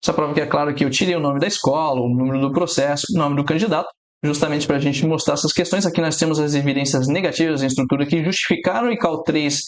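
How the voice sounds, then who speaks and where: A Brazilian man, an aviation English teacher, explaining exam mistakes in a steady instructional way. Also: fairly high pitch. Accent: Brazilian Portuguese, no fixed regional accent. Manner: explains steadily, quotes radio phrases slowly and deliberately.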